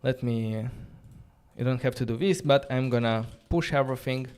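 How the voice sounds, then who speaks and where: A man whose first language is Romanian runs a live coding tutorial in a loose, chatty way. He thinks aloud, fills pauses with "uh" and "like", and breaks off now and then to answer the chat. His voice sits at a mid pitch. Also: a little mellow, clear, resonant tone. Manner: casual, thinking aloud with many fillers and restarts; reads and answers viewer chat questions. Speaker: coding instructor.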